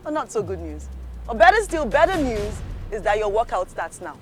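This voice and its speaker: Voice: medium pitch, big pitch swings, brisk, faint music behind. A show host, presenting in an upbeat, emphatic scripted style.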